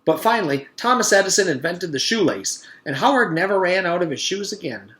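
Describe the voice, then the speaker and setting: A man voicing an eager, boastful schoolboy who tells tall tales in an animated, earnest way, as in a storybook reading. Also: high voice, a little fast, echoey room, voice pushed up. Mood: eager, explaining. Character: eager schoolboy telling an excited, gory tall tale.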